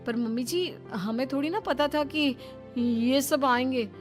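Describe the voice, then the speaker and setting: A woman plays a grudging young sister-in-law in a storybook drama. Her fairly high voice is snide and complaining, dripping sarcasm over a music bed. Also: a little slow.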